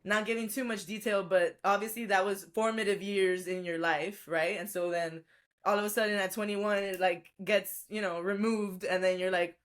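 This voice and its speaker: A woman's voice: mid-range voice, fairly even intonation, normal speed. A woman podcast guest, a life coach, telling her story in a reflective, chatty way with frequent laughs. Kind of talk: casual interview storytelling.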